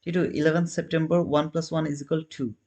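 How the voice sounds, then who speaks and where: A man's voice: a little high, level tone, fast talker, a little mellow. A man in his thirties casually hosting a trading livestream, chatting with viewers and answering their comments with loose, restarting phrasing. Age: 30s. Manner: non-native phrasing, frequent restarts. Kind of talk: casual live-stream commentary.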